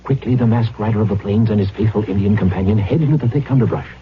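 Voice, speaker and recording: Male serial narrator, dramatic scripted adventure read, lowish voice, muffled vintage radio sound. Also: quick pace. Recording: dull vintage radio, faint noise.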